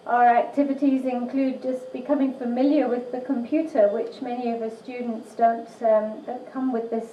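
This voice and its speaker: Woman in her 60s, earnest and halting, a bit high; far off the mic in a reverberant room. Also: flat delivery, slight background noise. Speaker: university teacher. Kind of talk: presentation to a church audience. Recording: distant, echoey, muffled room recording.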